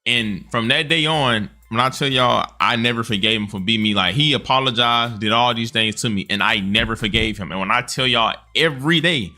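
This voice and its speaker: Male commentator, quick and bright-voiced, casual and slangy, earnest about his opinions. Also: medium pitch.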